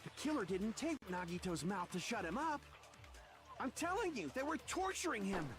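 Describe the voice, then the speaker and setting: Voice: mid-range voice, sing-song, moderate pace, lightly acted. An actor voicing a hot-headed, defiant young student in an anime-style video game, brash and insistent. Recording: game music behind.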